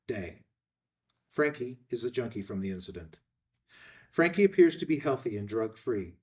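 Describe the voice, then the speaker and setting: A man's voice: medium pitch, darkish tone, recorded from a distance. A man reading screenplay stage directions as a scripted narrator.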